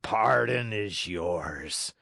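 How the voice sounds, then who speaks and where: A man voicing a gruff, bearded puppet-show director with a hoarse, booming voice, bad-tempered but softhearted. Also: animated, extremely slow, dry recording, clean studio sound, heavily acted.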